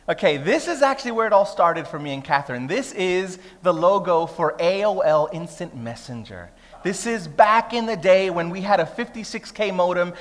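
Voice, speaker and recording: A man, preaching a sermon in a conversational, humorous way that builds to emphatic declarations. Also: high-pitched. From Brooklyn, New York. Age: 30s. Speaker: pastor. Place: church hall. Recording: long reverb of a church hall.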